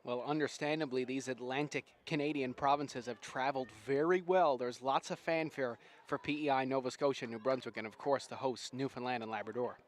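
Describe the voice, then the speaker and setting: A man's voice: mid-range voice, steady tone, a little fast, few pauses. A man giving conversational live sports commentary as the colour commentator.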